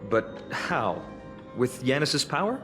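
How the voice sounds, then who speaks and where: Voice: mid-range voice, big pitch swings, slow. An actor playing a curious, questioning companion in a video game. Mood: curious, puzzled. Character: curious, questioning companion being guided along. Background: music.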